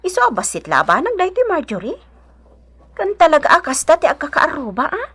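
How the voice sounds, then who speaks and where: Fairly high, kindly Ilocano neighbour. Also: female voice, wildly swooping pitch, brisk, a bit bright, heavily acted. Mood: kind, reassuring. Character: helpful, kindly woman neighbour. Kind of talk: Ilocano radio drama acting.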